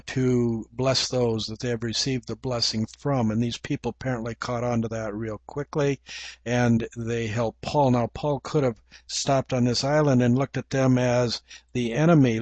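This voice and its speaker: An older man with an English accent, preaching a measured, reflective Bible teaching with frequent pauses. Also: medium pitch, steady tone, a little mellow, no echo.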